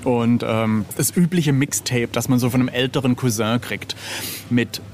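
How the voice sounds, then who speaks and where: A man's voice: mid-range voice, moderate pace, darkish tone, slight background noise. A middle-aged man chatting casually as an interview guest, hesitant and self-ironic, often searching for his words. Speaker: interview guest, illustrator of record covers.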